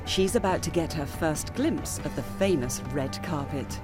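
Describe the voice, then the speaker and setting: A woman delivers scripted news-feature narration in a fairly low voice. Prominent music plays behind her measured storytelling. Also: expressive.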